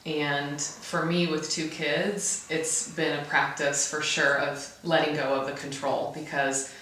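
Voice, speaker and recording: A woman's voice: sits low, flat delivery, measured pace, a bit bright, a little hiss or hum. A woman guest speaking reflectively and a little hesitantly in casual conversation. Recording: echoey room, distant mic.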